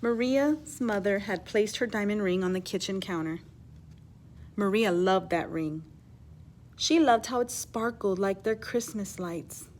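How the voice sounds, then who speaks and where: A woman reading a children's story aloud in an expressive, teacherly way, pausing to explain words and ask questions. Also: mid-range voice, slight background noise. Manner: animated, emphatic reading with hushed asides.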